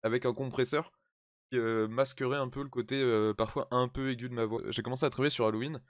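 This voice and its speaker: A man's voice: mid-range voice, fairly even intonation, quick pace, dry recording, clean studio sound. A man chatting casually and explaining as he goes, hesitant and thinking aloud in an informal way. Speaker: music video creator recording a drill track. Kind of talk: casual explanatory vlog chat.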